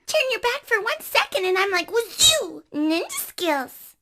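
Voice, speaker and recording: A woman's voice: extremely high pitch, very animated, measured pace, heavy background noise, full character voice. A woman voicing a playful, sneaky frog mascot animatronic in a game, bubbly and cheeky.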